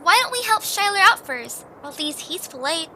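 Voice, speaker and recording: A woman's voice: very high voice, very animated, moderate pace, bright, forceful tone, slight background noise, exaggerated voice. A woman playing a plucky, slightly sarcastic young adventurer in a fan audio drama. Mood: puzzled, determined. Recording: music clearly behind.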